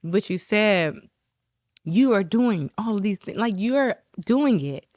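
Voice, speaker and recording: A woman in casual podcast conversation, an animated storyteller who acts out what other people said. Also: takes it slowly, no room sound. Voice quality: describes her own talking voice as high-pitched and squeaky.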